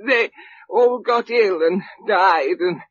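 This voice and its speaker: A woman's voice: mid-range voice, slow, dull audio, close to an everyday voice. An actress playing a desperate, starving old woman in a radio drama, pleading and possessive in a repetitive way. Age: older.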